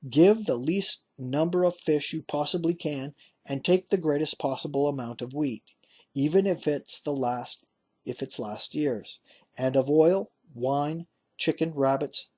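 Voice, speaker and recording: A man voicing a shrewd, excitable old fisherman father who cries out in a husky voice. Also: measured pace. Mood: bossy. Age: older. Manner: shouting eagerly, cries out high.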